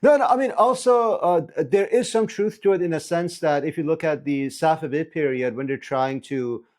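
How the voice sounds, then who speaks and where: A man's voice: fairly high pitch, moderate pace, darkish tone. A man hosting a casual conversation, speaking hesitantly with many filler sounds. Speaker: host.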